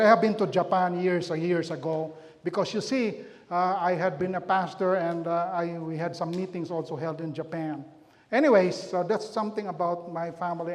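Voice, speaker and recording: A middle-aged man with a Filipino accent, a pastor chatting through a community health talk with loose, self-deprecating asides. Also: high voice. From Philippines. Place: church hall. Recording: long hall reverb.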